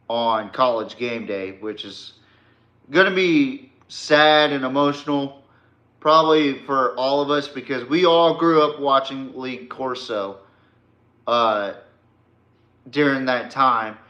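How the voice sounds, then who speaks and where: A man's voice: medium pitch, pushed and bright, highs cut off. A man hosting a casual sports podcast, talking informally to a stream audience in a rambling, emphatic way. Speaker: podcast host. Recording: echoey room.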